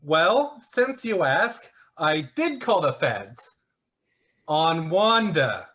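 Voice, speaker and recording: Man acting as a smug, sarcastic grifter, swooping high voice. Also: very animated, very slow, a bit bright, exaggerated voice. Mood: gleeful, mocking. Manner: sarcastic, mocking, theatrical. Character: smug, flippant male internet conspiracy grifter, cynical and taunting. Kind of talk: virtual one-act play acting.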